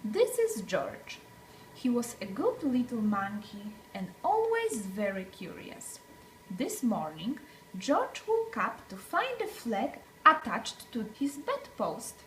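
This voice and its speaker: A woman's voice: on the high side, huge pitch swings, slow, a bit bright, slight background noise, low-quality recording. A woman reading a picture book aloud to young children, expressively, with dramatic phrasing and emphasized words. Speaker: children's story reader.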